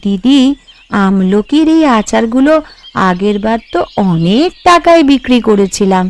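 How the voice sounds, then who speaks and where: A woman voicing a young, polite and cautious daughter-in-law in a family cartoon. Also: fairly high pitch. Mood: hopeful. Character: young daughter-in-law, deferential, hopeful, secretly enterprising. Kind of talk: Bengali cartoon voice acting.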